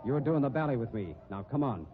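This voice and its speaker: Actor as tough American sideshow boss, male, gruff slangy bullying, lively pitch, tinny old radio sound. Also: quick pace, background noise, close to an everyday voice. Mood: exasperated. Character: tough, exasperated male carnival boss and barker. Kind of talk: 1950s radio drama acting.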